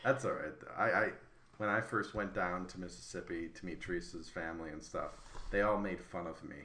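A man's voice: low-pitched, steady tone, brisk, pushed and bright, slight background noise. A man from Wisconsin with a Wisconsin accent, hosting a casual podcast chat and joking as he steers the talk back. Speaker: podcast host. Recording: roomy echo.

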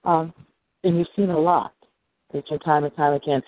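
A woman moderating a conference call, putting informal, halting questions to a caller. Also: low-pitched, steady tone, no room sound. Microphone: telephone. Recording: narrow, muffled phone line.

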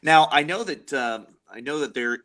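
A man's voice: a little high, expressive, normal speed, pushed and bright. A man co-hosting a radio interview, asking casual questions a little hesitantly. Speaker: radio show co-host. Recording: dull lo-fi audio.

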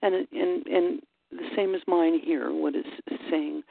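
A woman hosting an informal Bible study chat, unhurried and thinking aloud with frequent pauses and ums. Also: low-pitched, steady tone, creaky, no room sound. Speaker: host. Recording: dry, tinny phone-like line.

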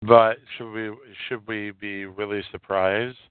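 A man hosting a solo podcast, giving opinionated commentary in halting, emphatic phrases with a lot of repetition. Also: fairly low pitch, level tone, clean tone, no echo. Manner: coarse, swearing. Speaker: podcast host. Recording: narrow phone-like line, dry.

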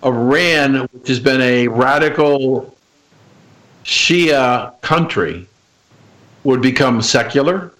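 A man's voice: mid-range voice, slow, long pauses, a bit bright, poor audio. A man hosting an interview, asking questions hesitantly and restarting them. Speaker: interview host.

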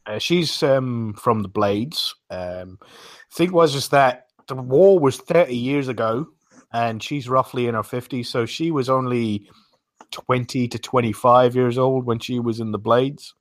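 A man's voice: mid-range voice, unhurried, dry recording. A man on a podcast panel, casually explaining the story of a game.

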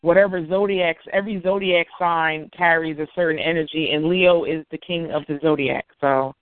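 A woman's voice: deep voice, steady tone, normal speed, no room sound. A woman who is a spiritual reader, a guest on a talk show, explaining things in a confident, lecturing way. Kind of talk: casual chat, explaining. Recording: tinny phone line, dry.

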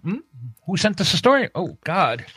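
A man co-hosting a casual comedy show, haltingly reading out the news and repeating himself. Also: sits high, big pitch swings, a bit bright, breathy edge, no room sound, studio quality.

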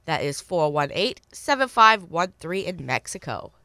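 A woman with a cold hosting a call-in swap show on the radio, reading listings and repeating phone numbers slowly and clearly. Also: fairly low pitch, sing-song, pushed and bright, no room sound. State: stuffy from a cold.

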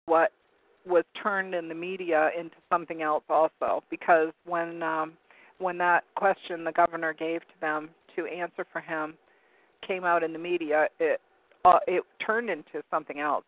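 A hoarse man with a slightly high voice hosts a call-in talk show, rambling and hunting for words as he reads from web pages. His voice comes through a narrow, phone-like line that sounds dry and close, with no room around it. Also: level tone, moderate pace, dry recording. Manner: rambling, searching for words with many ums. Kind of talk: casual talk radio commentary, reading from web pages.

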